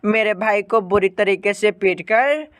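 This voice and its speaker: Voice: medium pitch, unhurried, no room sound, lightly acted. A narrator voicing an angry, distrustful sparrow wife in a cartoon moral story. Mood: angry accusing.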